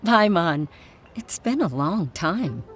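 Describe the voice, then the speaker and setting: Troubled young woman in a game, low voice, soft and sighing, music under it. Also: very animated, takes it slowly, a little hiss or hum. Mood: worried. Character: young woman worrying about her aging father. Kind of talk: game voice acting. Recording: game dialogue with music behind.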